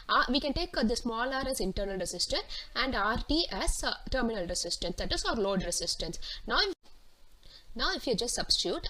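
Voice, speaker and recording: A woman's voice: on the high side, pushed and bright. A woman teacher explaining worked exam solutions step by step, reading out questions and then walking through them. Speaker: physics teacher. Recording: clearly noisy recording with clicks.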